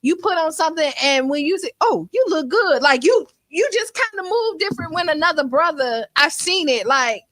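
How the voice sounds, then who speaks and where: Woman, high voice, animated, chatty co-host, dry close sound. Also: normal speed, hardly any pauses, no room sound. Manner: lively, animated, laughing storyteller. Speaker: radio co-host. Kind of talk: casual radio chat. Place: radio studio.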